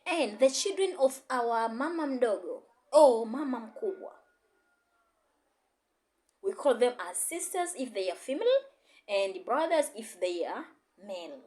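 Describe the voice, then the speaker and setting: Lively woman teaching. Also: fairly high pitch, unhurried, long pauses. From Tanzania. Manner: repetitive, encouraging, code-switching teacher. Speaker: Swahili and English teacher. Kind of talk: online language lesson.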